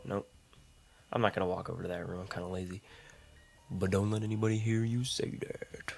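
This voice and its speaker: A young man with a deep voice and a slightly rough throat, chatting casually through gameplay commentary into a condenser mic, rambling and self-deprecating, with audible mouth clicks. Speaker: gaming YouTuber. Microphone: large condenser studio mic.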